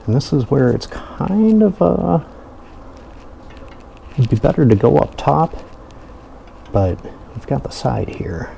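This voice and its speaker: A man giving a casual hands-on product review, explaining things step by step in an unhurried way. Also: medium pitch, very animated, creaky, dark tone, a little hiss or hum, low-quality recording.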